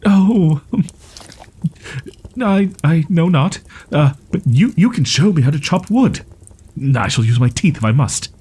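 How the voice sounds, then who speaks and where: A man playing a proud, wounded young wolf-boy in an audio roleplay, stammering in archaic, formal phrasing with a husky, breathy voice. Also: a little high, animated, unhurried, dark tone. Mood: eager, flustered. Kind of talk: roleplay audio drama, ASMR.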